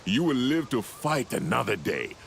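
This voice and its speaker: An actor playing an avuncular, good-humoured older clansman in a game, with a gravelly voice. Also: medium pitch, sing-song, moderate pace, a little hiss or hum. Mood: genial. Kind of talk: game character acting.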